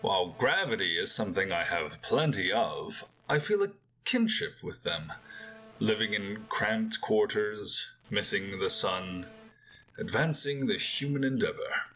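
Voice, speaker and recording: A man's voice: on the low side, sing-song, unhurried, bright, forceful tone, exaggerated voice, rough recording. A man playing an aloof, self-satisfied survivalist who records formal, precise log entries in an audio drama. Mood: measured, proud. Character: meticulous, aloof male bunker survivalist keeping a log.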